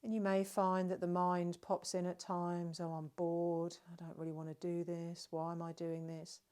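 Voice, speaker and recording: A woman leading a guided relaxation, speaking gently and soothingly, with long unhurried pauses between phrases. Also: on the low side, flat delivery. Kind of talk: guided meditation narration.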